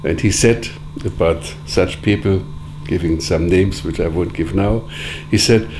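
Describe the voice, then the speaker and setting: Measured low-voiced man, noisy background hum. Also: darkish tone. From Germany. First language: Sorbian. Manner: measured, deliberate, with frequent pauses and fillers. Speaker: interviewee, editor of philosophical writings. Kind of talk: reflective interview answers.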